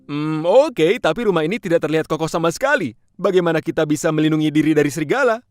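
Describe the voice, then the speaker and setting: Woman putting on a low, cautious big-brother pig. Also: animated, quick pace, a little mellow, soft background music. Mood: doubtful. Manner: hesitant, questioning. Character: sensible eldest male pig, cautious and doubtful.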